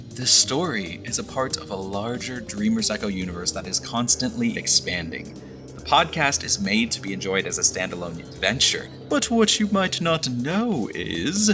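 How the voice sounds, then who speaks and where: Male podcast host over clear music. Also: on the low side. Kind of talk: scripted message to listeners.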